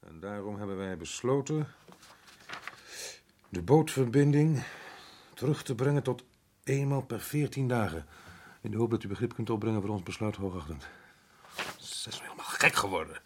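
An actor playing a brusque, impatient colonial resident in a radio drama, gruff and bossy. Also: male voice, lowish voice, animated, moderate pace, long pauses. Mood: dry, irritated.

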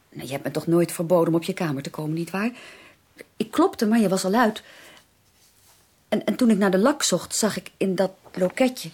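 An actress in a Dutch radio drama playing an earnest, anxious young sister who pleads haltingly and emphatically. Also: female voice, lowish voice, darkish tone, slightly rough. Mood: coaxing, nervous, then confiding, sheepish. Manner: halting, emphatic, repeats words for stress.

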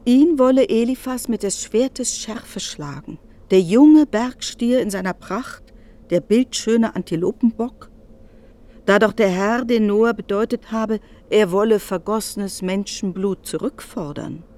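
A woman's voice: medium pitch, measured pace, dark tone. A woman narrating a literary radio drama, expressively retelling another person's speech with some irony.

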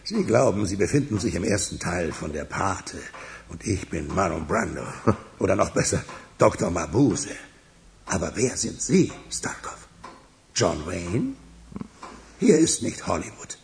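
Agitated, gravelly crime boss. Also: male voice, deep voice, big pitch swings, unhurried, slight background noise, exaggerated voice. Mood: sardonic, commanding. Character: agitated, sardonic, commanding male city crime boss. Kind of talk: German radio crime drama acting.

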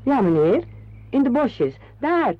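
A woman's voice: high-pitched, very animated, slow, soft background music, exaggerated voice. A woman playing a polite, matter-of-fact country passer-by in a radio play. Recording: thin, phone-like radio play recording.

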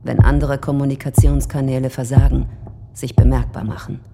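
A woman's voice: very deep voice, steady tone, brisk, very noisy. A woman speaking in a spoken-word sound collage about everyday work. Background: loud music.

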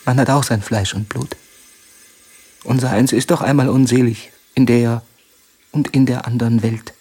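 An actor in a radio drama playing a humble, downtrodden poor soldier, submissive and halting in his plain speech. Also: medium pitch, fairly even intonation, dark tone, husky. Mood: humble, bitter. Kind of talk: theatrical radio drama acting.

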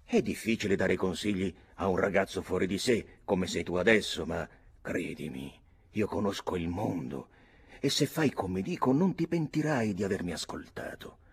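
A man's voice: low-pitched, expressive, normal speed, gravelly, slightly rough, exaggerated voice. A man reading an audiobook, voicing a worldly, persuasive older army captain giving advice. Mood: coaxing. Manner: coaxing, advising.

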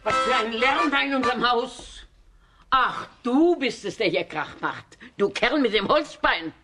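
An actress playing a shrewish, quick-tempered innkeeper's wife with a nagging manner in a children's radio play. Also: female voice, a little high, expressive, loud music behind the voice. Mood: furious, scolding. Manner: nagging, indignant.

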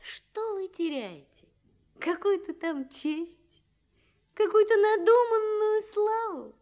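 A romantic young confidante with an extremely high voice. Her delivery is slow, tender and pleading, drawn out with plaintive sighs as in an old-fashioned theatrical fairy tale. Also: a little mellow. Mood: pleading, reproachful. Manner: plaintive, sighing, drawn-out, breathy at moments. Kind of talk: theatrical fairy-tale radio play acting.

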